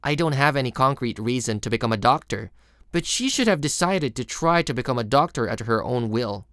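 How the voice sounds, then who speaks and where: Voice actor as earnest teenage boy, lively pitch. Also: fairly quick, no echo, clean studio sound. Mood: reflective. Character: studious, reserved teenage high school boy, earnest and self-doubting. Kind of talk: manga video character narration and dialogue.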